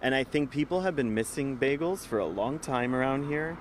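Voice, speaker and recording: A man in his thirties, a bakery co-owner, answering in a live TV interview outdoors in a relaxed, slightly halting way. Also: mid-range voice, a little hiss or hum. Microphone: reporter's handheld mic.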